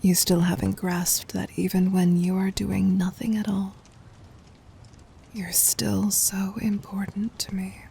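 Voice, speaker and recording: A woman playing a tender, possessive demon queen in an intimate ASMR roleplay, speaking in a soft breathy whisper with regal, formal endearments. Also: medium pitch, little pitch movement, slow, slight background noise. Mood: tender, seductive.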